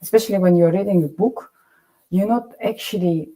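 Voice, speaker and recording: Woman, low mellow voice, halting and thoughtful, panel speaker. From Russia. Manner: thoughtful, searching for words, frequent restarts. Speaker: panelist, poet. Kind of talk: panel discussion.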